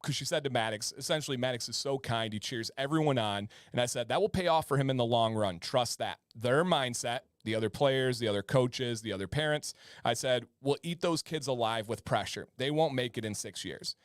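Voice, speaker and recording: A man's voice: medium pitch, fairly quick, dry recording, clean studio sound. A man in his thirties hosting a live-stream chat show, chatty and prone to repeating words and restarting sentences. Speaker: host.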